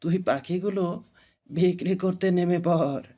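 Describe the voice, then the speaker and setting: A man's voice: sits high, unhurried, dark tone. A man playing a poor, worried bird-hunter father in a cartoon, with a grumbling, fatalistic manner. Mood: weary, resigned. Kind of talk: cartoon voice acting.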